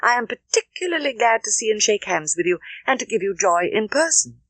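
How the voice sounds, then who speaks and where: A woman's voice: mid-range voice, big pitch swings, fairly quick, fairly bright tone, dry recording, voice pushed up. A woman with a British Received Pronunciation accent voicing a gracious, eager young lady in an audiobook, speaking politely. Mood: glad, eager. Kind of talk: audiobook character reading.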